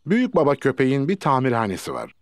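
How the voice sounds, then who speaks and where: A man's voice: medium pitch, huge pitch swings, quick pace. A man playing the cheerful narrator of a children's cartoon, reading scripted storybook lines. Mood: bright narrating. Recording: loud cartoon music behind.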